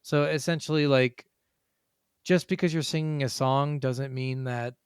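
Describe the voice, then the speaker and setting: A man's voice: mid-range voice, steady tone, measured pace, clear, resonant tone, no echo, studio quality. A man co-hosting a podcast in casual chat, working through his notes with rambling, sometimes profane asides. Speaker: podcast co-host.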